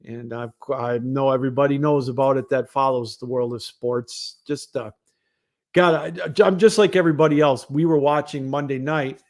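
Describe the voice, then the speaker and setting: A man's voice: mid-range voice, fairly even intonation, normal speed, a little mellow. A man hosting a casual solo sports broadcast, talking in a rambling, conversational way. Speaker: sports show host.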